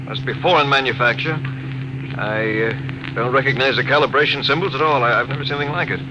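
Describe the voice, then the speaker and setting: A noisy old radio recording of a man playing a junior spaceship officer. He is dutiful and deferential, speaking at a medium pitch in classic radio drama style. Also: quick pace, close to an everyday voice. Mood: puzzled, deferential.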